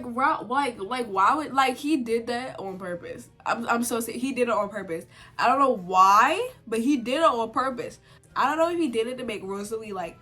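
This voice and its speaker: A young woman vlogger with a congested cold, chatting at home in a sit-down video and giving opinionated takes full of "like" and sudden animated outbursts. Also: fairly high pitch. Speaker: vlogger reviewing a TV series. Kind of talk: casual sit-down chat to camera.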